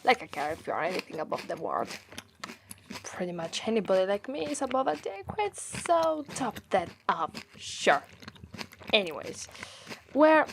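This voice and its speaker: A woman's voice: medium pitch, big pitch swings, creaky, noisy background. A woman with an Italian accent voicing a haughty, menacing female demon who taunts and condescends in an ASMR roleplay. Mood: aloof, condescending. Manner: condescending, drawn-out, taunting delivery.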